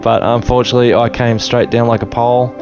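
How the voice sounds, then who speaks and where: A middle-aged Australian man telling his life story in a relaxed, rambling way with many fillers and restarts. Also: mid-range voice, fast, highs cut off, music in the background. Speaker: interview guest. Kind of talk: casual storytelling interview.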